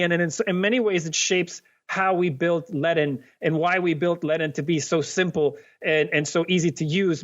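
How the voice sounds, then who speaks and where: A man from Venezuela, a company cofounder, answering interview questions earnestly, often pausing with fillers and restarting sentences. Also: on the high side, muffled recording.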